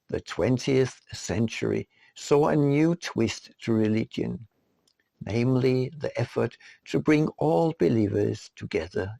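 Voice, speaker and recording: A man reading his own written text as an audiobook narrator, in a deliberate, rhetorical manner. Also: mid-range voice, gravelly, a little mellow, dry recording. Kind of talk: scripted narration.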